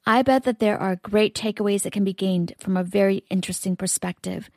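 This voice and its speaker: A woman's voice: mid-range voice, fairly quick, a little mellow, no echo, clean studio sound. A woman co-hosting a podcast, reading a scripted introduction addressed directly to listeners. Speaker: podcast co-host.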